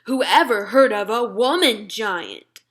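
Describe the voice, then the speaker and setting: A woman's voice: fairly high pitch, lively intonation, takes it slowly, fairly bright tone. A woman voicing a very old friendly giant in a children's storybook, declaiming theatrically and indignantly in garbled grammar. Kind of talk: children's storybook read-aloud.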